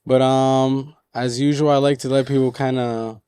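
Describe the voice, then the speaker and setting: A man with a mellow, smooth voice hosting a casual podcast. He is recorded dry and close. He keeps things easygoing and answers with quick "okay, okay"s. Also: mid-range voice, flat delivery, no room sound. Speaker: podcast host. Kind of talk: casual interview chat.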